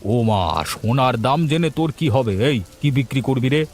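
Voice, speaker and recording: A man's voice: mid-range voice, moderate pace, a little mellow, close to an everyday voice. Man acting a nosy, greedy village householder. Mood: curious. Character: greedy middle-aged village householder, estranged son. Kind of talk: cartoon voice acting.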